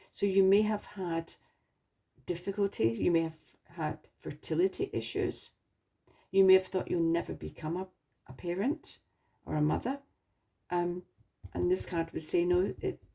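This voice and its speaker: A woman with a slightly hoarse voice from a sore throat, giving a reassuring tarot reading straight to the viewer and often restarting her phrases. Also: fairly low pitch, dark tone. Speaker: tarot reader.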